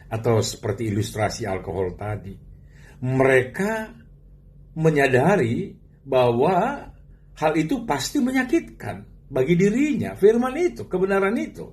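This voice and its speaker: Man preaching with animated, swooping pitch. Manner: rhetorical questions and emphatic, drawn-out phrasing. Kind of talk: sermon.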